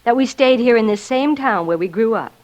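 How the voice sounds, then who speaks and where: Actress as a gently wry, lilting wife. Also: female voice, on the high side, expressive, fairly quick, a little hiss or hum, close to an everyday voice. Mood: contented. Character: contented, gently wry middle-aged wife and mother. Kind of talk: 1940s-50s radio drama acting.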